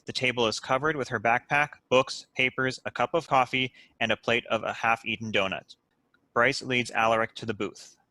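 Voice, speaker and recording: Male narrator, crisp bright tone, close dry sound, scripted screenplay directions. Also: medium pitch, steady tone, moderate pace, no echo. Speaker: stage directions reader.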